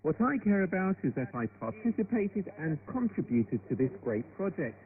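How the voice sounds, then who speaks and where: Male interviewee, higher voice, lively intonation, crowd noise, thin shortwave sound. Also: moderate pace, narrow, tinny audio. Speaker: interviewee in a news report. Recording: thin shortwave radio, busy crowd behind.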